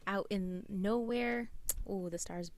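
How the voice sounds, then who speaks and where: A woman's voice: mid-range voice, takes it slowly, darkish tone. A woman with an American accent co-hosting a podcast, chatting casually with playful, reactive interjections. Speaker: podcast co-host. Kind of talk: casual chat.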